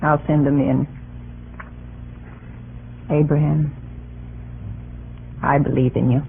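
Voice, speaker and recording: Creaky, slow, earnest confidant. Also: male voice, a little high, level tone, phone-quality sound. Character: earnest, devoted confidant urging Abraham onward. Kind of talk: 1930s-40s radio drama acting. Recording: thin old radio recording, faint hiss.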